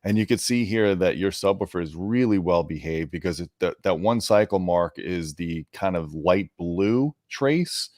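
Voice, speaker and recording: A man's voice: low voice, lively intonation, normal speed, few pauses, a little mellow, dry recording, clean studio sound. A man hosting an interview, explaining technical points conversationally and addressing viewers directly. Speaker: host interviewing an audio product manager.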